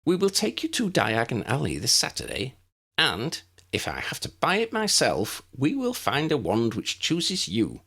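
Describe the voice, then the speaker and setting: A man puts on a kindly little old wizard professor for a storybook. The voice is lifted high and swoops about, genteel and precise, with an avuncular, excitable air. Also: measured pace, gravelly, slightly rough, full character voice. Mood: delighted.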